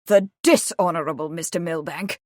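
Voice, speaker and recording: A woman's voice: medium pitch, fairly quick, slightly rough, no room sound, clean studio sound, close to an everyday voice. A woman voicing an older aristocratic father in an audiobook, formidable, irascible and imperious, snapping his words. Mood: thundering anger.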